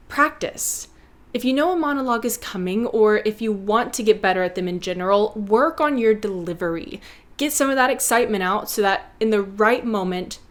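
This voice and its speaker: A woman hosting a video essay, reading scripted instructional narration in an articulate, playfully teacherly way. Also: a little high.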